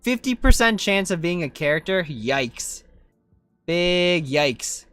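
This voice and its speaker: A game streamer chatting casually through live play. The pitch is medium but moves around a lot, and the delivery is informal and self-questioning. Light game music plays behind the voice. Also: lively intonation, slow pace, fairly bright tone, smooth, no echo. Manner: informal, self-questioning, often ends with "right?". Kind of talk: casual live gaming commentary.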